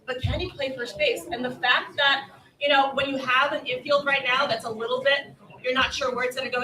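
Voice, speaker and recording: A woman's voice: sits high, bright, forceful tone, far from the mic. A woman on a live sports panel, debating emphatically and skeptically with repeated phrases and rhetorical questions. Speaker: baseball panelist. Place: live convention panel stage. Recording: echoey room, off mic.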